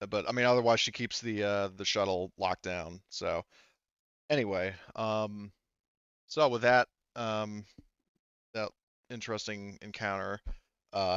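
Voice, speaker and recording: A man narrating a tabletop roleplaying game casually as game master, improvising in a halting, rambling way and rephrasing as he goes. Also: low-pitched, fairly bright tone, smooth, dry recording, highs cut off. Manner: halting, rambling, often rephrasing mid-sentence.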